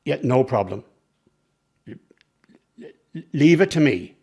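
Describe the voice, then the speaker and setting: A man's voice: medium pitch, lively intonation, takes it slowly, dark tone, husky, heavily acted. An actor playing an anxious man asking for more time on a phone call, in a radio drama. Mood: anxious, placating. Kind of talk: naturalistic radio drama acting.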